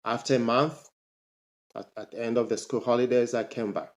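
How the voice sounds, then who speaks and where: A man's voice: fairly even intonation, moderate pace. A man, a motivational speaker telling his life story to camera, emphatic and rising sharply on his questions. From Zambia. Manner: emphatic, pitch jumps up on rhetorical questions.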